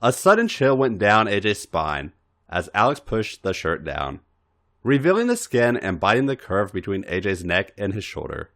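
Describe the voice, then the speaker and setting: A man with a fairly deep voice reads fiction aloud for a podcast. His narration is highly animated, with big swings in pitch across the phrases. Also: normal speed. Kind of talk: expressive scripted story narration.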